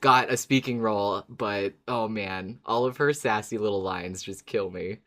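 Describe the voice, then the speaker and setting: A man's voice: on the low side, a little slow, bright, forceful tone. A man co-hosting a fan podcast, chatting and recapping in a casual, playful, enthusiastic way. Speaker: podcast co-host.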